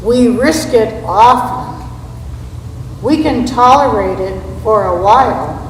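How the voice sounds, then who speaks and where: A woman giving a scripted dramatic recitation in a church readers theater, with a deliberate, measured delivery. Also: fairly high pitch, lively intonation. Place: large reverberant church space. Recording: echoey hall, distant mic, background noise.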